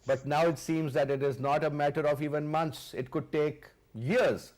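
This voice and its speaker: A man's voice: fairly high pitch, fairly even intonation, moderate pace, darkish tone. A man, hosting a TV interview and pressing his guest with emphatic questions. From Pakistan. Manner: emphatic, questioning, repeats words. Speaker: TV host.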